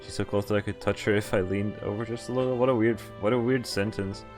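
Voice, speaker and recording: Low-voiced man reading a game aloud. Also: steady tone, fast talker, music in the background. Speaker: streamer playing and reading a visual novel. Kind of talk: reading game text aloud with casual reactions.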